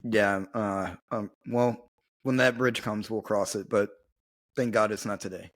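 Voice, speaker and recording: A man's voice: fairly low pitch, fairly even intonation, measured pace. Easygoing man hosting a podcast. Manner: easygoing, laughs often. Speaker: podcast host. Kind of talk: casual podcast interview. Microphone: video call.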